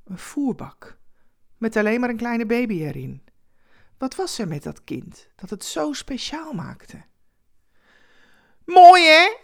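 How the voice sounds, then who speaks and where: A woman's voice: fairly high pitch, very animated, a little slow, vocal fry. A woman storyteller reading a scripted Christmas tale as dramatic narration.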